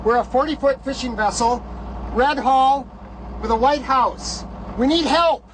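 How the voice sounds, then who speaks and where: Panicked high-voiced boat skipper, noisy radio. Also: female voice, unhurried. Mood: pleading, urgent. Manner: urgent, repeating herself, stammering. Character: panicked female skipper of a sinking fishing boat. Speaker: boat radio operator making a distress call. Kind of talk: dramatized marine radio distress call. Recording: noisy radio with engine rumble.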